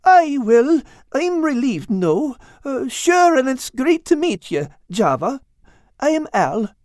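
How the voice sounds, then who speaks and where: Small male cat, very high swooping voice, nervous, stammering, excitable, folksy storybook character. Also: takes it slowly, no room sound, studio quality, full character voice. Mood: flustered, friendly. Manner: stammering, exclamatory, folksy dialect wording.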